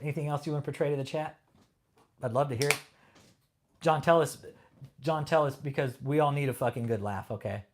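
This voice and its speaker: A man's voice: medium pitch, a little fast, long pauses, background noise. A man from California hosting a live stream, reading chat and taunting a troll with heavy swearing and laughter. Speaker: livestream host. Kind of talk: casual livestream chat.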